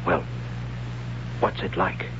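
A man's voice: slow pace, close to an everyday voice. A man playing a doubting young son, full of questions. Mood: incredulous. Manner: probing, incredulous questioning. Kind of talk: 1970s radio drama acting. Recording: dull lo-fi old radio broadcast with noise.

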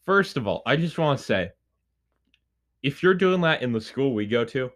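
A man's voice: medium pitch, expressive, moderate pace. A young man on a casual podcast, dropping crude, teasing quips. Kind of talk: casual chat.

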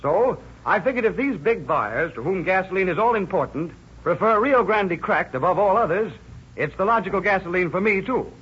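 An actor playing an earnest newcomer reading out a testimonial letter in an emphatic 1930s radio advert style. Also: male voice, high-pitched, lively intonation, normal speed, breathy edge, exaggerated voice. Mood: earnest, convinced. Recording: dull old radio recording.

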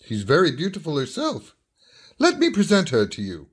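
Man as an eager, high-voiced young gentleman. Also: moderate pace, slightly rough, exaggerated voice. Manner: eager, animated. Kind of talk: old-fashioned radio drama acting.

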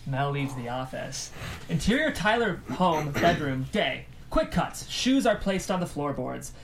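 Script narrator, stage directions, mid-range voice, faint room noise. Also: mid-range voice. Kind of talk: scripted narration at a table read.

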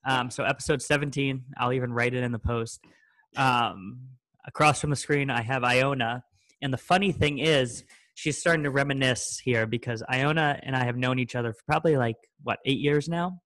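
A man's voice: mid-range voice, normal speed, fairly bright tone, dry recording. Male host, 30s, mid voice, jokey and loose, dry close mic. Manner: joking, loose, with many fillers. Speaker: podcast host. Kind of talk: casual podcast chat.

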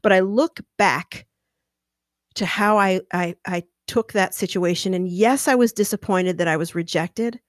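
A middle-aged woman with a lower mezzo-alto voice, hosting a podcast and telling a personal story in a lively, animated way, talking with her hands. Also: unhurried, no echo, clean studio sound. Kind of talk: casual storytelling. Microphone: podcast microphone, close.

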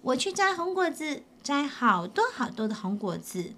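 A woman doing a high, upset little dinosaur boy. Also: big pitch swings, moderate pace, a bit bright, exaggerated voice. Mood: tearful. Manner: high pleading protests, stammers. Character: young male dinosaur child, upset and stubborn.

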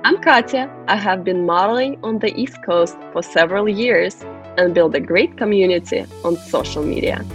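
A woman's voice: mid-range voice, normal speed, faint music behind. Woman co-host reading a podcast intro. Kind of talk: scripted podcast intro and casual chat.

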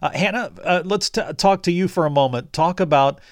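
A man's voice: sits high, fairly quick, no room sound. Earnest male podcast host guiding an interview, dry and close. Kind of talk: hosting a ministry interview podcast.

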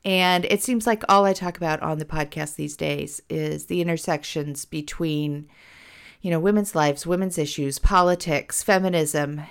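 A middle-aged woman hosts a casual podcast, talking in an animated, self-deprecating way. Her voice sits fairly low and sounds a bit hoarse from a cold. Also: moderate pace. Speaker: podcast host.

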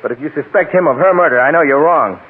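An actor playing a weary, grieving husband who speaks haltingly in an old-time radio crime drama. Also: male voice, a little high, husky. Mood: bitter, agitated. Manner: halting, stammering. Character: grieving, weary husband whose wife was murdered. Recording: muffled, narrow-band old radio recording.

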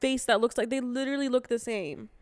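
A young woman co-hosting a casual chat podcast, her nose stuffy from allergies, chatty and quick to react. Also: on the high side, a little mellow, dry recording. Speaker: podcast co-host.

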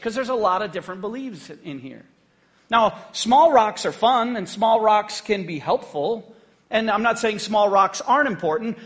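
A man preaching a conversational sermon to his church, joking and often checking in with the congregation. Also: high-pitched. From United States. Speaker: pastor.

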